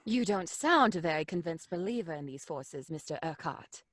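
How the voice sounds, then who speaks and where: A woman playing a teasing, inquisitive young gentlewoman in an old-fashioned radio drama. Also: on the low side, animated, normal speed, dry recording, studio quality. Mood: teasing, curious.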